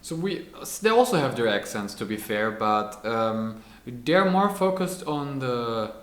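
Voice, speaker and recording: A man's voice: mid-range voice, big pitch swings, unhurried, fairly bright tone, roomy sound. A man from Germany with a German accent, explaining his country in a casual chat. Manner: explains carefully, restarts phrases.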